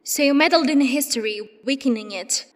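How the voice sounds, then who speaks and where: Woman as stern high-voiced agent in echoing hall. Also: a little fast, close to an everyday voice. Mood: shocked, indignant. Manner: stern, accusing. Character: indignant, resolute female military agent. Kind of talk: audio drama acting. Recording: long hall reverb.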